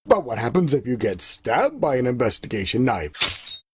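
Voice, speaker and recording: A man's voice: medium pitch, sing-song, moderate pace, faint music behind, natural voice. A man playing the announcer of a parody television commercial. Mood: mock serious.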